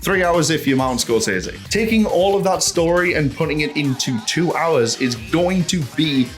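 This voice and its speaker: A man giving a casual, opinionated video essay in an animated, ranting manner, full of rhetorical questions and swearing. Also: a little high, moderate pace, faint music behind. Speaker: host of a video essay channel.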